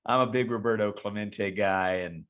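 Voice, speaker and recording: Low-voiced man hosting a rambling chat. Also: moderate pace, a bit bright. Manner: rambling, frequent restarts and repeated words. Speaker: podcast host and youth baseball coach. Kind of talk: casual interview conversation.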